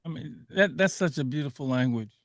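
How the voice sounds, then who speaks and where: A radio host from Liberia with a fairly high voice talks casually and animatedly, often breaking into laughter. His voice is close and dry, with no room sound. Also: expressive, fairly quick, no echo.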